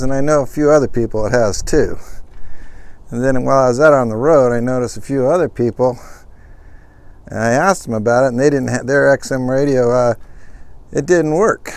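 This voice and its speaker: A man from Southern California giving a casual, folksy how-to walkthrough of his truck camper, rambling and correcting himself as he goes. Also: mid-range voice. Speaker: vlogger.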